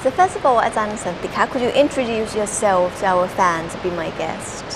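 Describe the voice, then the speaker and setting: A woman's voice: medium pitch, lively intonation, rapid-fire. A woman with a Thai accent hosting a talk show in English, prompting her guest with questions and "okay". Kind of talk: interviewing a guest. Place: exhibition hall. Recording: noisy hiss in background.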